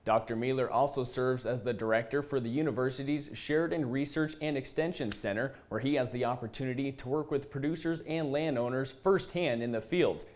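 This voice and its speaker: A male news presenter delivers scripted narration for an agriculture broadcast. His pitch sits in an ordinary middle range for a man, and he speaks in a reporting style. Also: fairly quick, few pauses, a little mellow. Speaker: news host.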